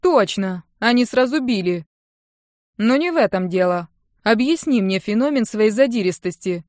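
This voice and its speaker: A woman's voice: medium pitch, lively intonation, normal speed, darkish tone, no room sound, natural voice. A woman narrator acts out a touchy young uncle in an audiobook. He is sarcastic and bossy, firing off mocking retorts in a raised, emphatic voice, recorded very dry. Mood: sardonic.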